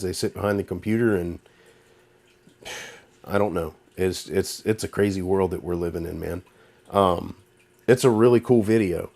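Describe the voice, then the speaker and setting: A man's voice: low voice, a little mellow. A man with a stuffy, congested voice, casually rambling through commentary as a channel host. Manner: rambling, conversational, with fillers and restarts. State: congested, nasal from a cold. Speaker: YouTube host. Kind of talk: casual talking-to-camera commentary.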